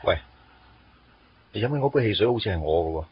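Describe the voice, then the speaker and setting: A man's voice: low voice, lively intonation, fast, a little hiss or hum. An actor playing a blunt, teasing, lovestruck young man in a radio drama. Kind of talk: Cantonese radio drama acting. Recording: dull radio drama recording with music.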